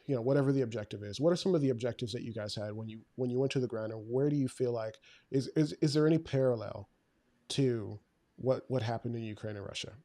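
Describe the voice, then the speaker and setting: A man hosting a casual podcast interview, a bit stuffy from a flu, halting and repeating words as he asks questions. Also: medium pitch, warm tone, no room sound. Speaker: podcast host.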